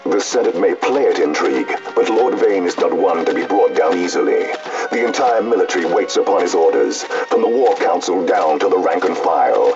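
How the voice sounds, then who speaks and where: A man's voice: low-pitched, expressive, normal speed. A man playing a stern, fiercely loyal imperial judge in a fantasy video game, declaiming in formal, commanding phrases. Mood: stern, indignant. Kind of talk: formal, archaic video game drama acting. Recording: muffled game audio under clear music.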